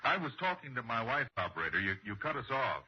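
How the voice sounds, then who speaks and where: An anxious husband working nights as a radio operator, played by a male actor in a vintage suspense radio drama. His pitch moves around in a lively way as he shifts between dialogue and tense narration. The sound is dull, like an old broadcast. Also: fast, lightly acted. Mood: anxious, impatient. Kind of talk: 1960s radio suspense drama acting, with first-person narration.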